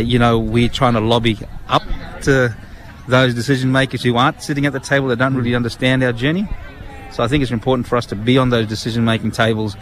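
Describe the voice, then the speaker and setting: An Australian man answering interview questions earnestly, halting with many fillers and restarts, recorded outdoors at a public event. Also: mid-range voice. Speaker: guest, national committee member. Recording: outdoor event with crowd murmur.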